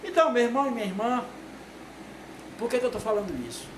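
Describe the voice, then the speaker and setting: A man's voice: very high voice, lively intonation, quick pace. A man, giving an informal, rambling sermon to a video audience with many tag questions. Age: 60s. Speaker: lay preacher. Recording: echoey room with noise.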